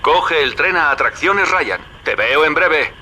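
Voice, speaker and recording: A man's voice: fairly high pitch, lively intonation, unhurried, background noise. An actor playing a slick, jaunty middle-aged businessman ally in a video game, wry and folksy. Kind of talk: dramatic video game dialogue.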